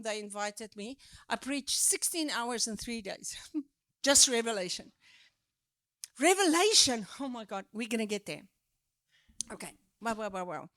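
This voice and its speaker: South African woman preacher, high voice, slow deliberate delivery, emphatic, dry close sound. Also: no room sound. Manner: animated, emphatic, drawn-out stresses. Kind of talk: sermon teaching.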